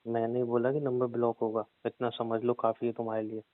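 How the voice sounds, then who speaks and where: A man's voice: mid-range voice, completely flat delivery, a little fast, no room sound, lightly acted. A man playing an evasive, bossy husband, cajoling and repeating himself in a naturalistic audio drama argument. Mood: irritated. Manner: cajoling, repetitive, stubborn. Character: evasive, bossy husband stubbornly putting off his wife. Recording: tinny, dry phone-call sound.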